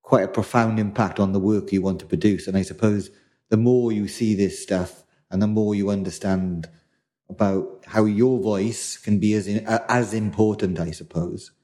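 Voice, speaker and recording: A man's voice: fairly low pitch, fairly even intonation, normal speed, warm tone. A middle-aged man from South Wales co-hosting a casual podcast chat, rambling and restarting as he hunts for words. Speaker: podcast co-host.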